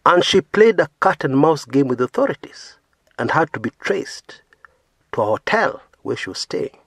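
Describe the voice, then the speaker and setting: Male commentary host from Kenya, second-language English, earnest and emphatic, animated intonation, slightly husky. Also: a little high, moderate pace, no room sound. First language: not English. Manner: earnest, emphatic, frequent "yeah" tags, rising stresses on key words. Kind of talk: opinion commentary monologue.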